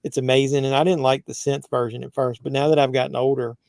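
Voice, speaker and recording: A man in his fifties hosting a casual live movie chat, relaxed, reading viewer comments aloud. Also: medium pitch, fast talker, vocal fry, dry recording. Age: 50s. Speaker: YouTube movie channel host.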